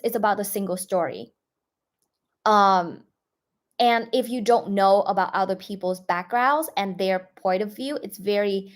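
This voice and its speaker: A young woman from northern Vietnam with a Northern Vietnamese accent, speaking English as a second language and telling stories casually on a livestream, full of "like" fillers and restarts. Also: mid-range voice. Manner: chatty, many "like" fillers and restarts. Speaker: YouTuber.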